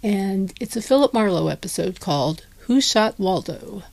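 A dry, close recording of a woman. She speaks at a medium pitch as a podcast host, introducing the show informally. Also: animated, normal speed, gravelly, darkish tone, no room sound.

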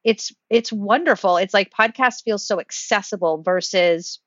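A woman's voice: mid-range voice, normal speed, fairly bright tone, no room sound. A woman hosting an interview, speaking conversationally as she puts questions and shares her own thoughts. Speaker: podcast interviewer. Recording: slightly dull, dry remote audio.

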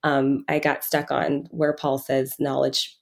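A woman in casual, reflective conversation, candid and often halting as she corrects herself. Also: rumbling low, monotone, vocal fry.

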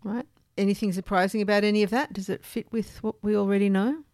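A woman's voice: mid-range voice, fairly even intonation, fast, a little mellow, no room sound. A woman hosting a conversational discussion of research, with an inquisitive, thoughtful manner. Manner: inquisitive, thoughtful, affirming listener. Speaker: podcast host and interviewer.

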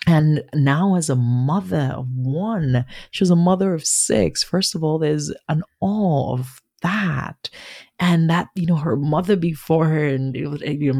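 A woman who grew up in Nigeria, a guest author talking reflectively in an interview, with frequent pauses and restarts. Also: rumbling low, a little mellow, dry recording, studio quality. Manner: thoughtful, halting, many restarts and fillers.

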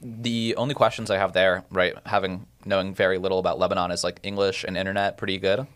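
A man hosting a podcast, chatting casually with brief, quick questions and replies. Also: sits low, flat delivery, fairly bright tone, smooth. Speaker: podcast host. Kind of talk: casual interview.

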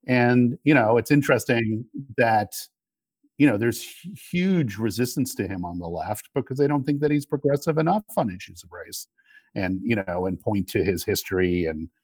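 A middle-aged man giving casual political analysis as a guest, reasoning through his points in a measured way. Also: mid-range voice, lively intonation, slightly rough, no echo. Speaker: interview guest, political commentator.

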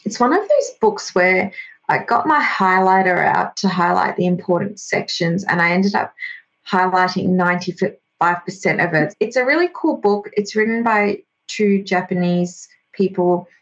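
Low-voiced Australian woman, gravelly tone. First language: Greek. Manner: thoughtful, measured explanations. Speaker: guest. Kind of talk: podcast interview. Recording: slightly dull lo-fi audio.

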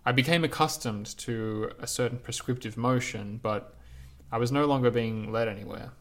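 A man reading his own reflective essay aloud in a measured, lyrical, unhurried way. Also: mid-range voice.

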